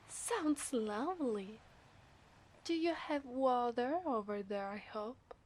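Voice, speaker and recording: A woman with an Italian accent voicing an obsessive, seductive mermaid in a teasing, breathy ASMR roleplay. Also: fairly high pitch, a little mellow. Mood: playful, curious. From Italy. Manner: slow, teasing, breathy half-whispers.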